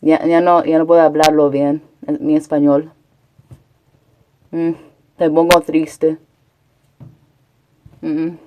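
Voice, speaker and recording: A woman's voice: deep voice, level tone, slow pace, long pauses, warm tone. A chatty woman from Texas, rambling casually as a live streamer and answering her chat. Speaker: Twitch streamer.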